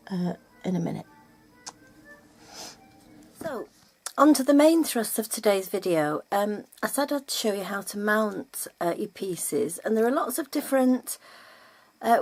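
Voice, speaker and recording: An older woman talks through a craft lesson at home. Her voice is slightly husky, and she rambles in a friendly way, full of ums, uhs and little self-corrections. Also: mid-range voice. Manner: chatty, hesitant, with many ums and self-corrections. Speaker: felting teacher. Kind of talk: casual video tutorial. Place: home room, upstairs.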